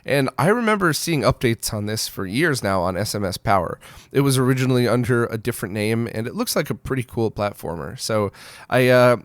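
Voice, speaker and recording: A man's voice: medium pitch, lively intonation, a little fast, dry recording, studio quality. A man hosting a news roundup in a casual, blunt, opinionated way, speaking informally straight to viewers. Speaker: host of a retro gaming news show.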